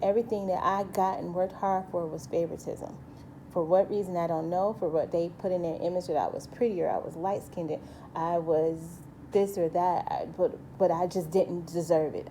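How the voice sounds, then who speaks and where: A woman's voice: fairly low pitch, warm tone, a little hiss or hum, poor audio. A woman with a light voice giving a rambling, earnest personal monologue as a vlogger, full of restarts and asides. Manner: rambling, earnest, many restarts and "you know".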